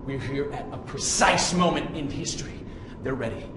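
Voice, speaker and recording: A man's voice: mid-range voice, normal speed, natural voice. A young man playing a cocky, theatrical ghost hunter presenting a paranormal show in a melodramatic style. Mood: hushed, intense. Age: young adult. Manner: melodramatic, bombastic. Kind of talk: scripted ghost-hunting presenter piece. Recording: echoey hall with noisy hiss.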